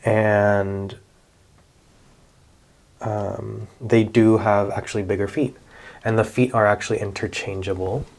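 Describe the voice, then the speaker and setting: A man, chatting casually in a relaxed vlog about his doll collection, with many fillers and self-corrections. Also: on the low side, takes it slowly, vocal fry, pauses often. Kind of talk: casual show-and-tell vlog.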